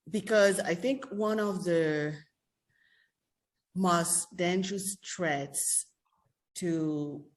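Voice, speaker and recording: A woman from Haiti moderating a panel conversation, speaking deliberately and with emphasis. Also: low-pitched.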